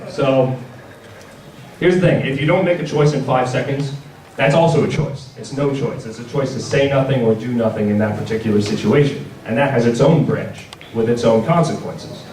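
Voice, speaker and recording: A man's voice: medium pitch, moderate pace, darkish tone, slight background noise. A man hosting a panel, giving an informal product presentation with a casual, wry, joking delivery. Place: large indoor convention panel room. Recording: roomy, distant mic, audible audience. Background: audience crowd.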